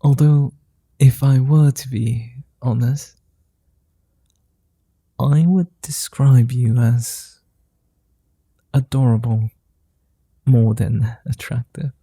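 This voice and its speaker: A flirtatious, kind-hearted vampire, voiced by a man, murmurs in an audio roleplay. His tone is very dark and gravelly with a husky edge, and he takes his time over every word. The sound is dry and close. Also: medium pitch, steady tone, no echo. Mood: tender. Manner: soft, slow, flirty and teasing. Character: gentle flirtatious male immortal vampire. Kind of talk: ASMR roleplay.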